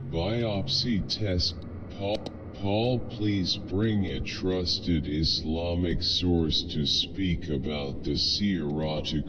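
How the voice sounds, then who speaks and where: A synthetic male voice reading out online comments in a flat, halting delivery that runs sentences together. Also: low voice. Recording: dull lo-fi audio with steady hiss.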